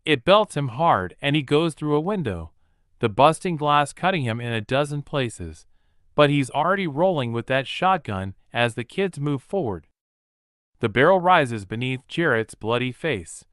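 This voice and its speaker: A man's voice: mid-range voice, a bit bright, smooth, dry recording. A man reads a horror audiobook in a vivid, present-tense style. His mid-range voice swings freely up and down the scale. The recording is close and completely dry. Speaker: audiobook narrator. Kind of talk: scripted horror narration.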